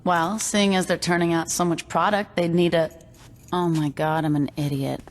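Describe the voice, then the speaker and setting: Game role for an actress: young female gang lieutenant, slangy and casual, slightly low voice, long reverb. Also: steady tone, moderate pace, close to an everyday voice. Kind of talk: video game acting.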